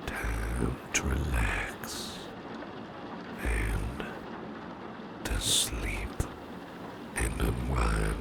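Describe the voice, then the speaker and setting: Casting: male actor for doting boss in ASMR roleplay, soothing and genteel, breathy bottomless-low murmur, unhurried delivery. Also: heavy background noise, heavily acted. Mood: tender, lulling. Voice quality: breathy, half-whispered, very deep gravelly murmur. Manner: slow, soothing, repeats reassurances, genteel phrasing. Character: doting, reassuring businessman boss, soothing and flirtatious. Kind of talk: ASMR personal-attention roleplay.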